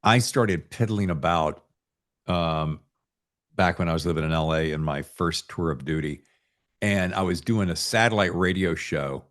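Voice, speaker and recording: An American man hosting a podcast, telling anecdotes in a casual, animated storytelling manner. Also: low-pitched, measured pace. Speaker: podcast host; former radio morning show host.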